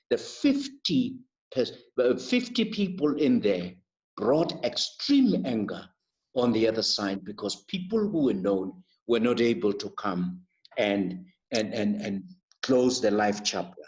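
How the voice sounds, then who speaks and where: A man speaking as a panellist in a webinar, slow and deliberate in his delivery. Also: on the low side, sing-song, darkish tone. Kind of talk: webinar talk. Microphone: video call. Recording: muffled video call audio.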